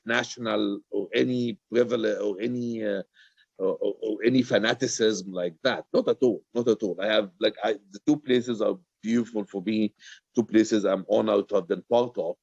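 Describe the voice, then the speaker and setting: A man from Egypt, a poet and author, talking informally and haltingly on a video call, often repeating his words. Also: mid-range voice, creaky, a little mellow. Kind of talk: informal question-and-answer talk after a reading. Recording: roomy, dull video-call sound.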